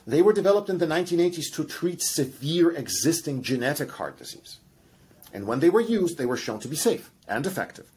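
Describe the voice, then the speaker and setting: A man's voice: on the high side, a little fast, slightly rough. A middle-aged man with a Russian accent, explaining animatedly as a guest scientist in a podcast conversation. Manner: animated, explains with examples and asides.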